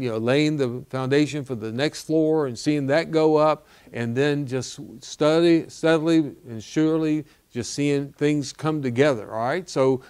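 A man's voice: on the high side, a little mellow, clean tone. Older male pastor, earnest fundraising appeal, tags lines with "okay?". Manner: earnest, repeats "okay?" and "all right?". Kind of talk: appeal for donations. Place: studio set with wooden wall backdrop.

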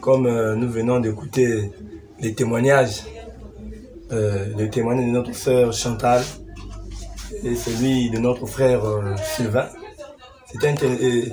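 A man's voice: medium pitch, a little mellow. Congolese man preaching informally, faint noise. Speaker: preacher commenting on testimonies. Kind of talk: informal religious exhortation. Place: small living room indoors. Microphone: camera microphone from a short distance. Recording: faint background noise, room ambience.

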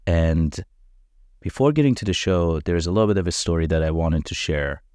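A man whose first language is Farsi, hosting a podcast and giving an earnest, measured introduction. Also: sits low, animated, darkish tone, smooth, dry recording, studio quality. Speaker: podcast host.

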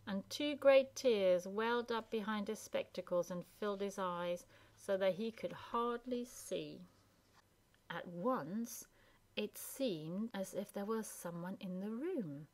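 A woman narrating a children's story as a scripted, expressive reading. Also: mid-range voice. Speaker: storybook reader.

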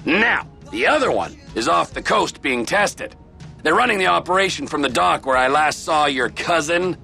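An actor playing a volatile, crude middle-aged male criminal in a video game, mocking and domineering, swinging from mock-gentle to barking. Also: on the high side, expressive, moderate pace, creaky, soft background music, exaggerated voice. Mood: businesslike scheming. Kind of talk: video game cutscene acting.

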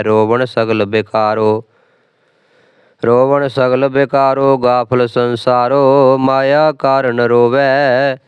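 A man reciting scripture in steady, measured phrases. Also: medium pitch, clear, resonant tone, no room sound. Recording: dry, tinny phone-like sound.

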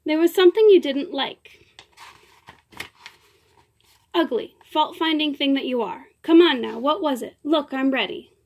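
A woman reading aloud voices a temperamental young actress who bursts into tearful, angry outbursts. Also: high-pitched. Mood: exasperated. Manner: tearful, pleading, quick to outbursts.

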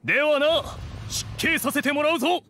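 A man's voice: very high-pitched, normal speed, sharp tone, slight background noise. A man voicing a pompous masked bandit in a video game, speaking in a theatrical, archaic manner. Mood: hasty.

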